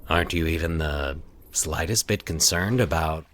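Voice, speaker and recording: A man playing a solitary, sinister man in a horror story, solemn, measured and calculating. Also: very deep voice, fairly bright tone, pitched below the performer's own voice.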